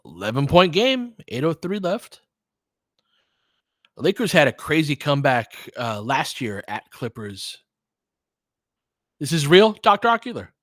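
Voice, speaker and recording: A man's voice: a little high, sing-song, unhurried, pauses often, fairly bright tone, no echo, studio quality. A man with an American accent giving casual live basketball commentary, thinking aloud and chatting conversationally with listeners. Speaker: host of fan play-by-play livestream.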